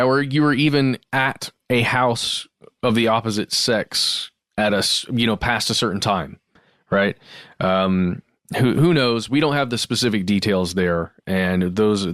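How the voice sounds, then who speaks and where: A man's voice: fairly low pitch, measured pace, fairly bright tone, no room sound, studio quality. A man co-hosting a podcast, reading listener emails aloud in a conversational, explanatory way with asides and recaps.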